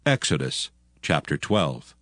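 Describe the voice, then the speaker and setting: A man's voice: extremely low pitch, sing-song, slow pace, fairly bright tone. A man narrating scripture as a scripted, dramatized reading. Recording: music behind the narration.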